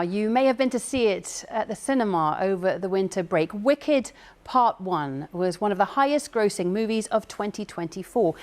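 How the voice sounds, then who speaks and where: A woman's voice: mid-range voice, a little mellow. Female news presenter reading links.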